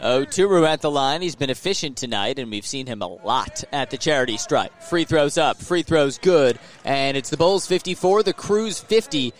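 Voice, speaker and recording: An American man doing live radio play-by-play of a basketball game, punchy and rising excitedly with the action. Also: a little high, normal speed, few pauses. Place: live inside a sports arena.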